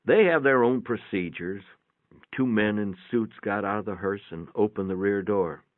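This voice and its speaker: A man giving a dramatic first-person reading of a short story in a measured, reflective way. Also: on the low side, dry recording. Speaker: story reader. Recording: thin band-limited phone-like sound, dry.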